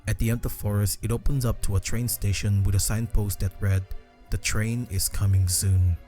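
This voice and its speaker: Mellow low-voiced man narrating. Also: fairly even intonation, normal speed, faint music behind. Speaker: narrator of a video essay. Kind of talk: scripted narration.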